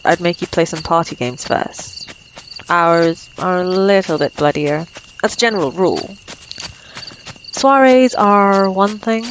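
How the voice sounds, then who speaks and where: A woman's voice: fairly low pitch, a little hiss or hum, poor audio. Woman acting a teasing female vampire, night insects behind. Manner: teasing, sardonic, taunting. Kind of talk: ASMR roleplay acting.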